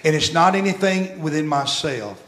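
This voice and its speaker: A pastor in his sixties preaching a sermon, repeating phrases as he swells loud, then dropping very soft. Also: fairly high pitch, lively intonation, moderate pace. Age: 60s. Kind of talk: sermon with storytelling. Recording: echoey church room.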